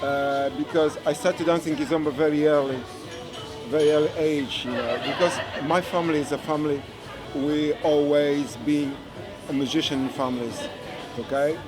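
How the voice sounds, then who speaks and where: A man from Angola, a kizomba teacher speaking haltingly in an interview, searching for his words. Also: fairly high pitch, steady tone. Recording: music and crowd behind.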